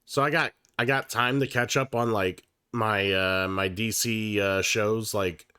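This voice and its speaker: A man's voice: fairly low pitch, fairly even intonation, unhurried, bright, forceful tone, smooth, no echo, clean studio sound. A man hosting a live stream of board games, chatting casually while sick and sounding slightly nasal, swearing freely. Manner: casual and profane, with many fillers and restarts. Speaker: livestream host. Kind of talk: casual livestream chat.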